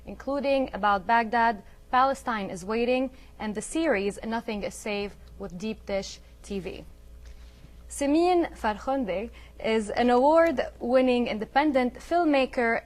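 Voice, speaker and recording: Talk show host woman, laughing often. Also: on the high side. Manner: friendly, laughs often, filler-heavy. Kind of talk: talk show hosting, casual and joking.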